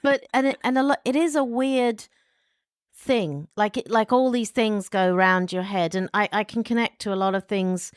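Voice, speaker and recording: A woman's voice: medium pitch, moderate pace, smooth, dry recording, clean studio sound. A woman in her fifties, co-hosting a podcast and reflecting aloud in a rambling, chatty way. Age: 50s. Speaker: podcast co-host. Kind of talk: casual chat.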